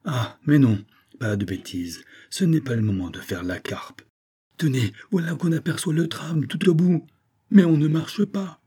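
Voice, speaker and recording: In this storybook reading, a plucky young woman gasps out her words, high-pitched and urgent, as a man puts her on. The sound underneath is dark and slightly husky. She presses on, bold despite being out of breath. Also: unhurried. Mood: brisk, determined. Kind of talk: audiobook character voice.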